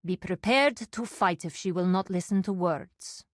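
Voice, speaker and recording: An actress voicing a proud, dutiful desert warrior woman in a video game, speaking in a formal, measured, solemn way. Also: on the low side, no room sound, clean studio sound, natural voice. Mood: resolute. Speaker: game character.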